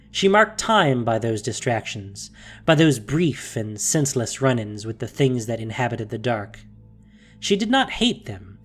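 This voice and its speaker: A narrator reading a scripted fantasy story in a measured, dramatic way. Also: mid-range voice.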